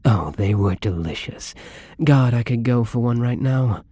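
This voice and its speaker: A man playing a lonely, gentle vampire in an intimate ASMR roleplay, speaking softly and haltingly with a wistful tenderness. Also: mid-range voice, no room sound, close to an everyday voice. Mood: wistful, fond.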